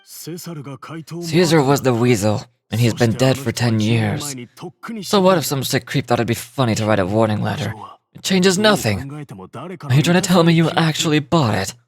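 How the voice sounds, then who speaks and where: A man voicing a gruff, irritable retired magician who talks in a curt, condescending way. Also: medium pitch, lively intonation, moderate pace, pauses often, crowd noise, natural voice. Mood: irritated.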